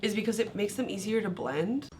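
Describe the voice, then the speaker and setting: A young woman with a hoarse, sick voice, chatting casually through a makeup review, laughing often and going off on tangents. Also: mid-range voice, brisk, a little mellow, a little hiss or hum. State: hoarse from illness. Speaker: beauty vlogger.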